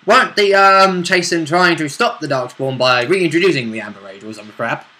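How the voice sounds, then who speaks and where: A man's voice: on the high side, moderate pace, pushed and bright. A man chatting casually as a tabletop game player, putting in wry, dry asides. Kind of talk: casual chat, interjecting in a game recap.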